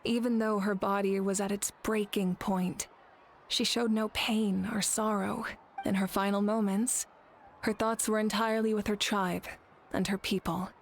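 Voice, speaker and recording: An actress voicing a composed, compassionate female ruler with a measured, formal way of speaking in an anime game. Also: mid-range voice, little pitch movement, a little hiss or hum, close to an everyday voice. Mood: solemn.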